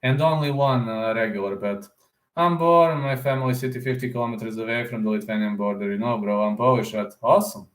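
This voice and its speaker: Man, casual live stream chatter, rambling, middle pitch, roomy echo. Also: a little fast. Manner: rambling, answers viewer comments aloud; repeats words, often ends with "man". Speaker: combat sports YouTuber.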